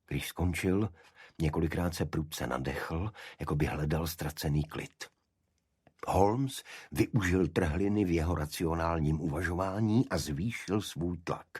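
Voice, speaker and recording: A man's voice: a bass voice, a little mellow, slightly rough, no room sound, studio quality. A man reading a detective story as a scripted, dramatic audiobook narration.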